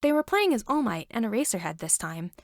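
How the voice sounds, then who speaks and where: A woman's voice: fairly high pitch, big pitch swings, fairly quick, vocal fry, dry recording, studio quality. A woman reading a story aloud as scripted narration. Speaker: fan fiction reader.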